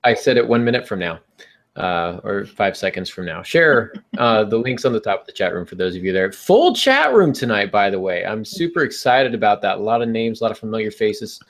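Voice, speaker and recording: Man, low gravelly voice, podcast host, casual talk, advising listeners. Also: sing-song, fairly quick. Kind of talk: casual podcast talk giving writing advice.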